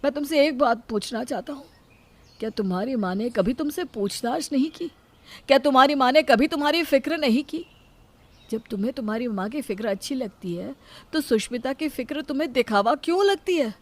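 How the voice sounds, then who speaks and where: A woman's voice: high voice, expressive, a little fast. A woman voicing a protective husband in a story, earnest, reproachful and admonishing. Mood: hurt, reproachful. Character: reproachful, protective elder husband defending his wife.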